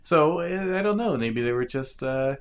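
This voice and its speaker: A man's voice: fairly high pitch, lively intonation, normal speed. A man talking casually about old hip hop records, thinking aloud with frequent fillers. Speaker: music reviewer.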